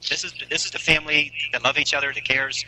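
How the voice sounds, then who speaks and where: A middle-aged father in an earnest, halting family conversation, repeating himself and pleading on a noisy recorded jail call. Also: fairly high pitch, steady tone, sharp tone.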